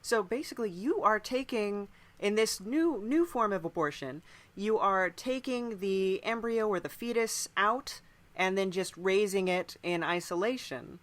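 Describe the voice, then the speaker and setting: A woman's voice: mid-range voice, animated, a little slow. A woman hosting a podcast, chatting casually and attentively in a musing way. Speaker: podcast host. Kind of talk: casual interview chat.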